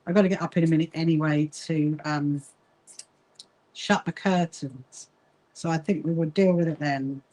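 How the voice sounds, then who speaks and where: Brief: woman host, Kentish-Sussex accent, lowish voice, relaxed chatty livestream talk, greets viewers as she sews. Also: moderate pace, low-quality recording. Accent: Kentish mixed with Sussex. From south coast of England. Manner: chatty, greets viewers and answers their comments. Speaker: livestream host of a quilting association. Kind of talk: casual livestream chat while sewing.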